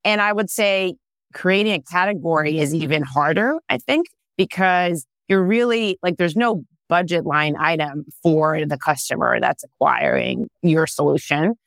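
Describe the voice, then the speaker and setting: A woman's voice: fairly low pitch, measured pace, fairly bright tone, no echo, clean studio sound. A woman in her thirties, born and raised in Israel, answering interview questions as a startup founder, thoughtful and full of "you know" fillers. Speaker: startup founder and CEO, guest.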